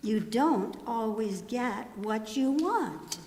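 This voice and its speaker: Slow, slightly high woman in echoing hall. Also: lively intonation, darkish tone. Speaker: readers theater performer at church. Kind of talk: theatrical group recitation of short sayings. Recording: echoey church hall with long reverb.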